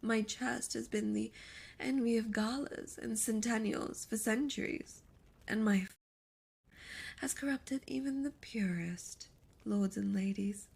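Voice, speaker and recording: Woman voicing a slow, breathy, haughty succubus demoness. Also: fairly high pitch, darkish tone, full character voice. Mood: seductive, boastful. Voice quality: breathy, lapsing into whispers. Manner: slow, theatrical, condescending. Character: seductive, imperious, gloating female succubus demon, ancient and haughty. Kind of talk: ASMR audio roleplay.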